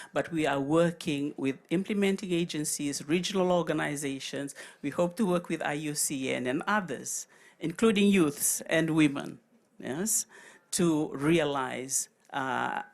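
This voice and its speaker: Measured woman presenting on a panel. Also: sits low.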